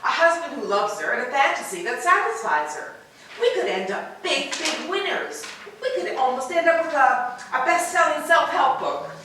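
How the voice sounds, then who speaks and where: A woman plays an earnest, rationalizing wife in a stage play rehearsal. Her fairly high voice moves expressively through theatrical, over-reasoned phrasing. The room is very echoey and she sounds far from the microphone. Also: big pitch swings, normal speed. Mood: hopeful, rationalizing. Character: earnest, self-justifying wife calmly rationalizing her fantasy affair. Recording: distant, echoey room with background noise.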